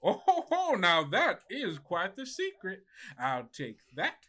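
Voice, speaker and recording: Man putting on a folksy, high-pitched dog carnival huckster. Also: wildly swooping pitch, takes it slowly, sharp tone, exaggerated voice. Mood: pleased. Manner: folksy salesman patter with drawling grammar. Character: tiny-armed elongating dog carnival vendor, folksy huckster.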